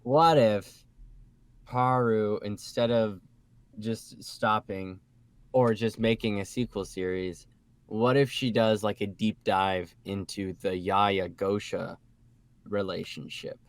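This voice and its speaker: A man's voice: lowish voice, fairly even intonation, slow pace, no echo. A man hosting a casual group podcast, talking informally with the others. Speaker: podcast host.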